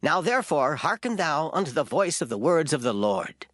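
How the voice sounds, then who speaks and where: A man's voice: fairly high pitch, very animated, moderate pace, a bit bright, no room sound, studio quality. A man playing a severe Hebrew prophet in a dramatized scripture recording, solemnly declaiming a divine command.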